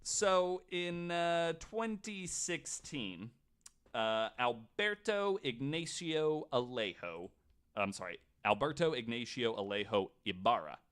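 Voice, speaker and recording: A man co-hosting a podcast, telling a story casually in a skeptical, wry, somewhat halting way. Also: mid-range voice, expressive, fairly bright tone, clear, resonant tone. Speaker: podcast co-host.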